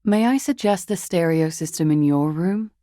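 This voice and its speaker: A woman's voice: lowish voice, lively intonation, moderate pace, warm tone, no room sound, studio quality, close to an everyday voice. An actress voicing a world-weary, guarded, seductive woman in a video game, with languid, hesitant, wry delivery. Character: world-weary, guarded, seductive disco-dancer woman recounting a dead lover. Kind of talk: video game dialogue acting.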